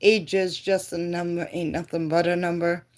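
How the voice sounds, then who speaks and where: A woman's voice: fairly low pitch, level tone, moderate pace, a little mellow. A woman in her fifties chatting casually with live viewers, laughing often and answering comments. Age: 50s. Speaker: livestream host.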